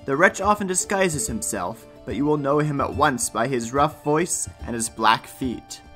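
A man's voice: mid-range voice, animated, unhurried, a bit bright. A man voicing an old, loving mother goat who speaks in earnest, careful warning in a storybook, over music. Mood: worried, cautioning.

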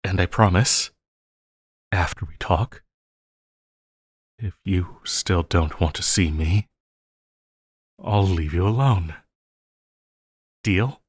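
A man acts out a lovesick, possessive villain in an audio roleplay. His deep voice moves slowly and haltingly as he pleads with his beloved hero in endearments. The sound is close and dry. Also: slightly rough, no room sound, full character voice. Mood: pleading, remorseful. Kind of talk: intimate audio roleplay acting.

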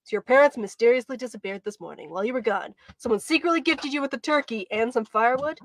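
A woman's voice: on the high side, quick pace, no echo, natural voice. A woman voicing a brusque but helpful robot detective in a children's storybook reading, with old-fashioned detective talk. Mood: businesslike.

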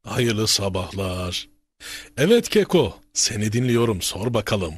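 A man voicing a kindly, pious old grandfather in a children's cartoon, teaching in a gentle, measured, didactic way with a husky, aged voice. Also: lowish voice, very animated, heavily acted. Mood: warm, attentive.

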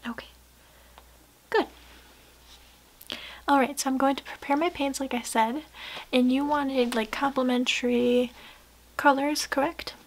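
A young woman soft-speaking in an ASMR roleplay, gentle and hushed, sometimes slipping into a whisper, close to the mic. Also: sits high, steady tone, a little slow, long pauses. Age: young adult. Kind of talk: soft-spoken ASMR personal attention roleplay. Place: small wood-paneled room indoors.

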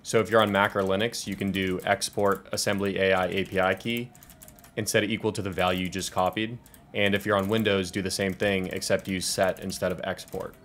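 A man in his twenties with an American accent presenting a software tutorial in an explanatory, step-by-step way. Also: low-pitched, little pitch movement, brisk, slight background noise. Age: 20s. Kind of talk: scripted software tutorial walkthrough.

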